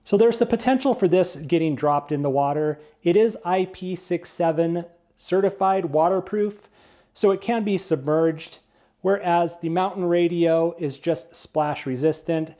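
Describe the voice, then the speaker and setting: Male product reviewer, slightly high voice, very mellow and relaxed tone, casual, plain step-by-step explanations. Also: measured pace.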